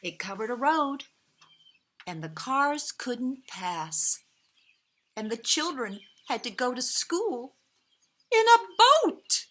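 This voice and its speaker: A woman with a bright, fairly high voice reads a children's story outdoors as birds chirp. Her delivery is slow and expressive, with dramatic pauses and stressed words. Now and then she speaks gently and directly to her young listeners. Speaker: storyteller reading a picture book to children. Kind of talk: expressive children's read-aloud.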